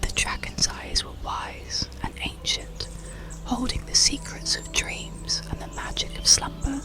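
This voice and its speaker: A storyteller narrating an ASMR bedtime story in a slow, soothing, hushed whisper. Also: music in the background. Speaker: audiobook narrator.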